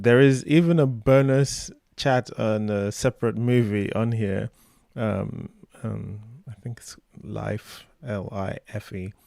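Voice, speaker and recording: A man hosting a film podcast, croaky while getting over an illness, talking casually with lots of ums. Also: lowish voice, no echo. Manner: relaxed, rambling with many fillers. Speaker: podcast host.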